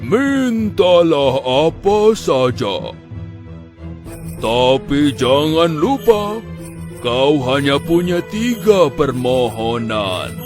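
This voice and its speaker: A man's voice: sing-song, darkish tone, faint music behind, exaggerated voice. A man voicing a solemn giant spirit granting wishes in a fairy tale, in a portentous manner. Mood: solemn, grand. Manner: slow, booming, portentous. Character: solemn giant male spirit of a magic ring.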